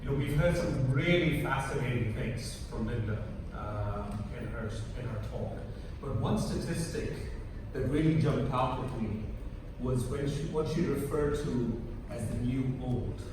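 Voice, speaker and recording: A middle-aged man from Kenya gives a conference talk, stumbling over restarts and fillers. He is picked up from far off in a large hall with a long, ringing reverb. A steady background noise hums beneath his voice. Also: mid-range voice. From born in India, grew up in Kenya. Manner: halting, many restarts and fillers. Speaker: conference speaker. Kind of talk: prepared talk to an audience.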